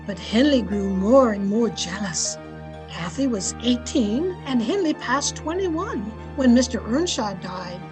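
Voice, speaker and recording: A woman's voice: fairly high pitch, lively intonation, a little slow, music in the background. A woman playing a storyteller in an old-time radio drama, reflective and dramatic as she recalls a family's past. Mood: wistful.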